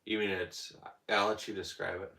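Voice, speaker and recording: A man's voice: sits low, level tone, normal speed, vocal fry, sharp tone, roomy sound. A man hosting a casual livestream, rambling and answering viewers' comments as they come in. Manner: rambling, talks back to chat comments. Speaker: livestream host.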